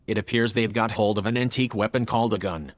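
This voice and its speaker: A man playing a logical, earnest male artificial intelligence in an audio drama, speaking in a measured, factual, formal way. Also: fairly low pitch, steady tone, long reverb tail, natural voice. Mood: earnest, matter-of-fact. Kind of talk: scripted audio drama dialogue.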